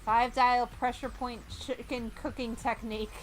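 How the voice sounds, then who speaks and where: High-voiced woman playfully narrating a game. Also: level tone, unhurried, a little hiss or hum, rough recording. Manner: theatrical, playful. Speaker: streamer. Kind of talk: animated game narration and reading aloud.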